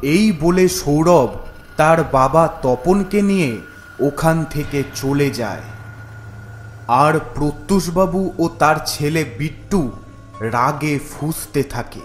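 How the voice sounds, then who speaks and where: Casting: male storyteller for scripted horror animation, unhurried delivery, slightly high voice. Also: animated, faint music behind. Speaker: storyteller, narrator.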